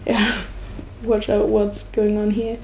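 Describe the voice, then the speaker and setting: A woman's voice: mid-range voice, monotone, takes it slowly, vocal fry, darkish tone, slight background noise. A sixteen-year-old young woman with a German accent, giving casual answers as an interview guest. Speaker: exchange student guest.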